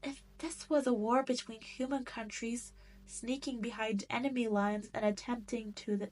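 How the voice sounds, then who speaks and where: A woman's voice: on the high side, darkish tone, low-quality recording. A woman playing a cruel, seductive demon queen in an audio roleplay, taunting and condescending as she toys with her prey. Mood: measured, persuasive. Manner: taunting, haughty, condescending, teasing. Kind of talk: scripted roleplay audio drama monologue.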